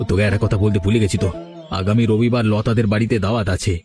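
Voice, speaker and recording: A man plays a remorseful young crook in a cartoon story. He talks quickly in a fairly low, earnest voice with clear music behind him. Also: fairly even intonation, lightly acted. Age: young adult. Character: moneylender's guilt-ridden criminal son, wanting to reform. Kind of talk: Bengali cartoon story dialogue.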